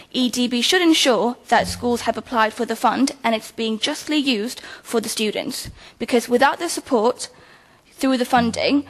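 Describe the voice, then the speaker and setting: A young woman from Hong Kong, a university student, giving measured, formal testimony at a committee hearing. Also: on the high side. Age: young adult. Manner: measured, formal, reads from notes. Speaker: student deputation speaker. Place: legislative committee meeting room.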